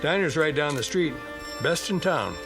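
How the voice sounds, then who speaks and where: A man playing a laid-back small-town garage mechanic in a radio drama, folksy and unhurried. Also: a little high, animated, music in the background. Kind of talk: radio drama character dialogue.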